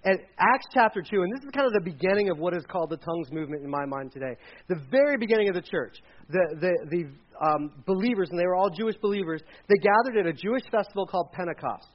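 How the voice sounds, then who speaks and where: A man with a fairly high voice delivers a sermon in a loose, conversational way, often restarting his sentences and acting out the people in the passages he retells. The recording sounds a little dull and lo-fi. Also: animated, fast. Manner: conversational, retells scripture with acted quotes and restarts. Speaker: pastor. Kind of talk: sermon with slides.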